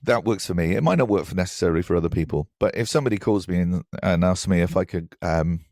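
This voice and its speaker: A man's voice: deep voice, fast talker, darkish tone, no echo, clean studio sound. A man speaking as an interview guest, telling personal anecdotes in a thoughtful, hesitant, self-deprecating way. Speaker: podcast guest, writer.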